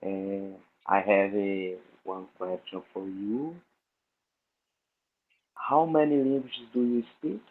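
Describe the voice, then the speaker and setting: A man from Brazil, a native Portuguese speaker, asking questions on a video call in halting, simple English. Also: fairly low pitch, fairly even intonation. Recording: tinny phone-like call line.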